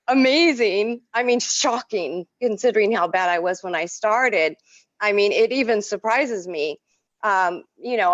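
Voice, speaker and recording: An expressive woman, French her first language, tells stories with lots of feeling as an interview guest. Her voice sits mid-range for a woman. The recording is dry and a little dull, with little room sound. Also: mid-range voice, no echo. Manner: effusive, expressive storyteller. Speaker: artist and art teacher, interview guest. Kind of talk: casual interview.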